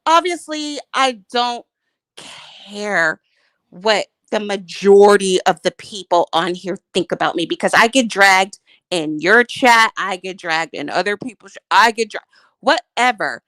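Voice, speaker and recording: A woman's voice: mid-range voice, expressive, unhurried, a bit bright, dry recording. A married woman defending herself in a heated, profane livestream argument, emphatic and defensive. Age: adult, married. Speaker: YouTube commentator.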